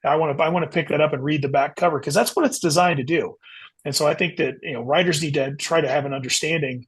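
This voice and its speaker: A man's voice: on the high side, steady tone, quick pace, breathy edge. A man speaking as an author guest in a casual interview, explaining things and often saying "you know". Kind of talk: casual interview talk about writing.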